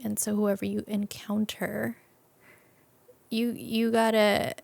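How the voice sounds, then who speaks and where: Lady guest on a casual interview, lots of vocal fry, dry close sound, thinking out loud. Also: medium pitch, flat delivery, slow pace, dry recording. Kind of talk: casual reflective conversation.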